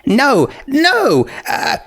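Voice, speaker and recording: A man's voice: very high-pitched, huge pitch swings, extremely slow, fairly bright tone, pitched above the performer's own voice, exaggerated voice. A man voicing a young female pony princess, flustered and indignantly protesting her innocence in a comic story. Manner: pleading, indignant.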